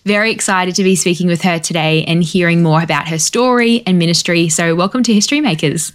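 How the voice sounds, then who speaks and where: Friendly woman hosting, lowish voice. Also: fairly quick. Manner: friendly and encouraging, steady questions. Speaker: guest host. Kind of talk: interview hosting.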